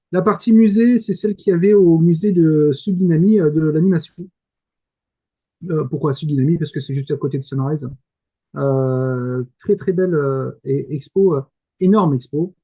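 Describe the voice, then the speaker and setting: Male panelist, relaxed dark tone, slightly high pitch, hesitant fan delivery, informal anime talk. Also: measured pace. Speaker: correspondent in Japan.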